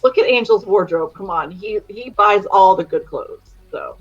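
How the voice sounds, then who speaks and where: A woman's voice: medium pitch, moderate pace, soft background music. A blunt woman talks in a loose group chat and swears without a second thought. Her voice sits at an ordinary middle height. Her audio comes through muffled and lo-fi, like an online call. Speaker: parent. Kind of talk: casual group chat on a stream.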